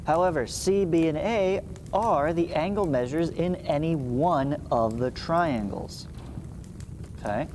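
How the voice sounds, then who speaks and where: A man's voice: a little high, takes it slowly. A man in his thirties teaching a math lesson, reading aloud and adding informal explanations with little check-ins. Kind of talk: read-along geometry lesson with explanatory asides. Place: small indoor room, seated before a green screen. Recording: clear steady background noise.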